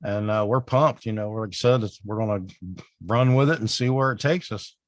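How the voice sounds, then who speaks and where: A man co-hosting a podcast in casual chat, with a raspy voice, sounding worn out and rambling with lots of fillers. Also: fairly low pitch, brisk, no room sound, studio quality. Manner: rambling, full of "you know" fillers. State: exhausted, worn out. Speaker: podcast co-host.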